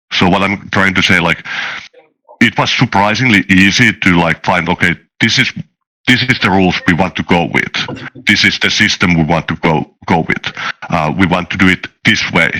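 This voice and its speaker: A man talking casually as a game designer being interviewed, halting and often restarting his words. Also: deep voice, expressive, sharp tone, low-quality recording. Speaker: tabletop game designer, guest.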